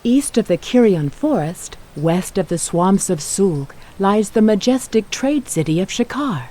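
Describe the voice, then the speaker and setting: A narrator reading a scripted fantasy game story in a dramatic, deliberate storytelling manner. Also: medium pitch, animated, warm tone.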